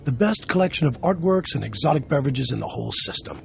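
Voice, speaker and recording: Gravelly, wry underworld dealer. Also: male voice, medium pitch, animated, quick pace, slight background noise. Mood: wry, bitter.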